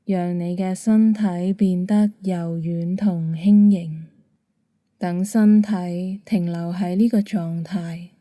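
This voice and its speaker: A woman with a Hong Kong Cantonese accent, gently guiding a bedtime meditation in a calm, soothing manner. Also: on the low side, slow, dry recording, clean studio sound. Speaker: meditation guide. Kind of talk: guided bedtime meditation narration.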